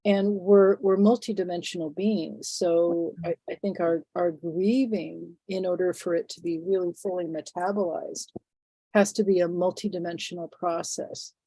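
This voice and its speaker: A woman in her sixties with a lowish, mellow voice, thick and phlegmy from a head cold. She talks reflectively, pausing and restarting as she searches for words. The sound is dry and close. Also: steady tone, breathy edge, no room sound, low-quality recording. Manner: thoughtful, halting, many fillers and restarts. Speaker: interview guest. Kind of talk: reflective conversational interview.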